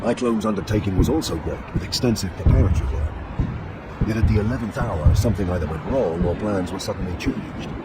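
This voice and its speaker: An actor playing a composed, commanding inquisitor in a science-fiction game, with measured, formal authority. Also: male voice, low-pitched, natural voice. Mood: serious, probing. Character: composed, commanding adult inquisitor, shrewd and serious. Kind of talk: dramatic science-fiction game cutscene acting. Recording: loud background noise.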